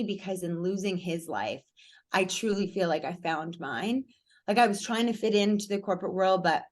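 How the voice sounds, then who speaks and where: A woman with a raspy, hoarse voice, telling her story openly and with energy as a guest in a conversation. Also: mid-range voice. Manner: energetic, candid, lively storytelling. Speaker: podcast guest, speaker and coach. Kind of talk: interview conversation.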